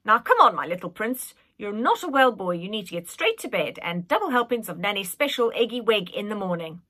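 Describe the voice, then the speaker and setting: A woman's voice: mid-range voice, very animated, fairly quick, bright, forceful tone. A woman voicing a plump, cheery old nanny in her eighties who fusses and scolds fondly with emphasis. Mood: firm, bossy. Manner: fussing, emphatic, scolding affectionately.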